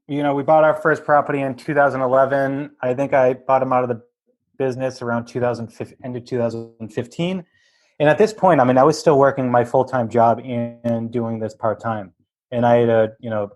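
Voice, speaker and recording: Easygoing man with a light Boston accent. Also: mid-range voice. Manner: laughs often, easygoing. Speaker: podcast guest, real estate investor. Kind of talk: casual interview chat.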